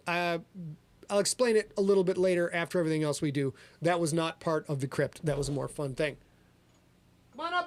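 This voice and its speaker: A man hosting a livestreamed podcast, reading his own fiction aloud and chatting in a quick, energetic, profane, joking way. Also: a little high. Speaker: author and podcast host. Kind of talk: livestream chat and story reading. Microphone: livestream camera setup.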